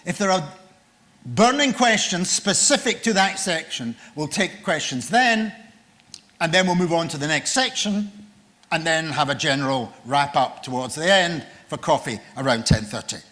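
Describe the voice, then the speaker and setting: Loud older man chairing a session. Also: fairly high pitch, animated, normal speed. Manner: jokey, then reads out a guest's biography. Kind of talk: formal introduction at a conference. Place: conference room.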